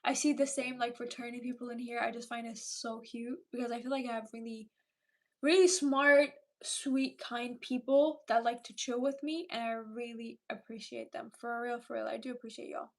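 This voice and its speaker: A woman in her twenties hosting a casual livestream chat with viewers, animated and talkative, often repeating herself for emphasis. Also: a little high, normal speed. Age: 20s. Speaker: livestream host chatting with viewers.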